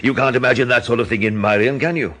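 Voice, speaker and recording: An actor playing a measured, concerned gentleman in a radio drama, probing and a little hesitant as he questions. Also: mid-range voice, natural voice. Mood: reassuring. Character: measured, concerned gentleman, sceptical listener and confidant.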